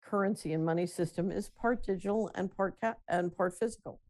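A woman with a low, mellow voice talks through her ideas on a remote call. She speaks in a measured, explanatory way, often restarting a phrase before carrying on. The tone is warm and relaxed rather than bright. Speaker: guest, runs a financial company. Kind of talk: conversational interview.

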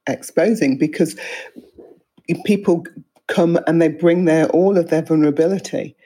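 A counsellor hosting an interview, explaining things in a calm, measured way. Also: mid-range voice, slightly rough, rough recording. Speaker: counsellor host.

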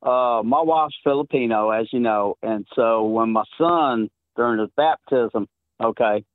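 A man's voice: medium pitch, a little slow, dry recording. A middle-aged man with a Southern drawl rambles through a story on a call-in show. His voice is thin and tinny, as if heard down a phone line. The sound is dry, with no room around it. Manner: chatty storyteller, laughs often. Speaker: caller.

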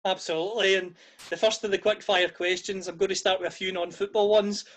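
A man's voice: high voice, little pitch movement, a little fast, a bit bright. A man hosting an interview, asking questions in a friendly, upbeat way. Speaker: interviewer, podcast host.

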